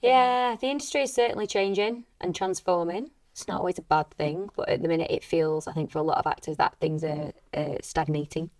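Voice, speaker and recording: A British woman with a slightly low-pitched voice speaks conversationally, as in an interview. She comes across as an earnest, encouraging coach offering advice to people in her field. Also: steady tone, fairly quick, hardly any pauses, darkish tone, no echo. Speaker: actor and acting coach.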